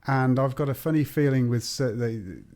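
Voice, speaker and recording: A man hosting a podcast interview, friendly and halting, often restarting his words. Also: mid-range voice, darkish tone. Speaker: podcast host, chiropractor.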